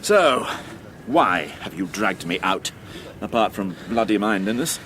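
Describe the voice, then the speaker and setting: A man's voice: fairly low pitch, big pitch swings, measured pace, fairly bright tone, slight background noise. An actor playing a testy, well-off police inspector in a radio crime drama, dry and condescending as he grumbles. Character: testy, well-off police inspector who likes his brandy.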